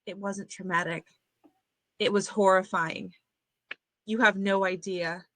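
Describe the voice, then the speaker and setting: A woman's voice: medium pitch, steady tone, measured pace, bright, forceful tone, no echo. A woman playing a fearful, traumatized young female psychiatric patient in a table read. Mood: guarded, upset.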